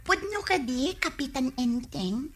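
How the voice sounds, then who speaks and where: Nangato ti timekna a balasang. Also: female voice, sits high, animated, measured pace. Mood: sweet, coy. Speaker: radio drama actor. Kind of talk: comedic Ilocano radio drama acting.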